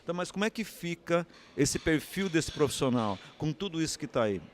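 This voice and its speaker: A man's voice: on the high side, animated, a little fast, slight background noise. A man with a Brazilian Portuguese accent giving an unscripted interview answer, explaining and often posing rhetorical questions. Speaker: interviewee, communications academic.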